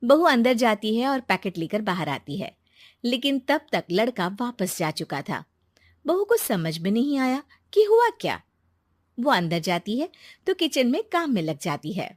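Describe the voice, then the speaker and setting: A woman's voice: on the high side, very animated, unhurried, no room sound, studio quality. A woman reading a scripted story as its narrator.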